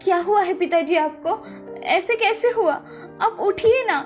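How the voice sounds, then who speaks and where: A woman playing a dutiful, respectful college-age daughter in an animated moral story, with an earnest, pleading manner. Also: extremely high pitch, measured pace, faint music behind, pitched above the performer's own voice. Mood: alarmed. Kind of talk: children's animated story acting.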